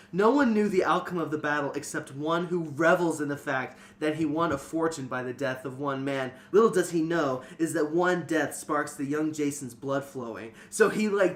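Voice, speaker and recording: Higher-voiced man, wry, in echoey room. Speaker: podcast panelist. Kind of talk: casual comedic book discussion.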